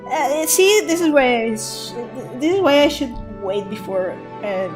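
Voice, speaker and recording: A woman's voice: high voice, very animated, a little slow. A woman streaming a game, casually thinking aloud in a hesitant, rambling way. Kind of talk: casual live game commentary. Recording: game music behind.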